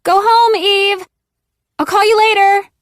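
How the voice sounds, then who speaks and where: A woman's voice: very high voice, steady tone, slow pace, dry recording, clean studio sound, pitched above the performer's own voice. A woman reading an audiobook, voicing a frightened, defensive fifteen-year-old girl who pleads with her friend. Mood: strained. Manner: emotional, shaky pleading.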